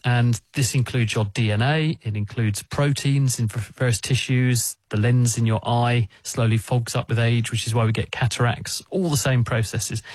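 A man answering listener questions on a radio call-in show, explaining things in a calm, methodical way. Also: medium pitch, dry recording. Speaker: science expert answering callers.

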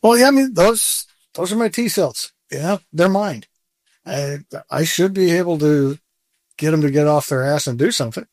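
A man in his sixties chats as an interview guest, candid and self-deprecating, laughing now and then. His voice sits a little high for a man. The recording is dry and close, with no room sound. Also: expressive, moderate pace, darkish tone, no room sound. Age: 60s. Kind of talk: casual interview chat.

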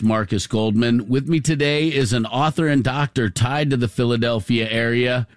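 A man's voice: medium pitch, no room sound, clean studio sound. A man hosting a public affairs radio show and interviewing a guest.

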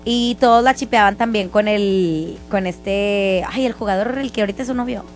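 A woman's voice: mid-range voice, normal speed, soft background music. A young Mexican woman hosting a show, chatting in a gushing, slangy way about celebrity news. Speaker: radio show host. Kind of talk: casual entertainment chat.

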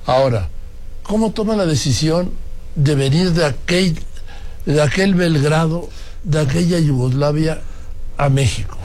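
Older Mexican man, slightly high-pitched. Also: a little slow, long pauses, darkish tone. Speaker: interviewer, host. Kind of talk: conversational interview.

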